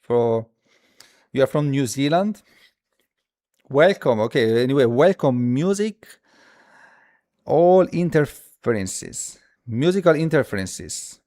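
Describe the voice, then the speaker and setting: A man who speaks as a hosts a casual live coding stream, thinking aloud haltingly and repeating his phrases as he demonstrates. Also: mid-range voice, animated, dark tone. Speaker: livestream host.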